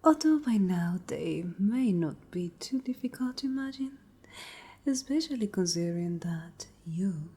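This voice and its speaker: A woman playing a cruel, haughty vampire noblewoman in a whispered ASMR roleplay, breathy, deliberate and taunting. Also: medium pitch, animated, warm tone. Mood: coolly menacing. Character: cruel, menacing noble lady vampire of a castle.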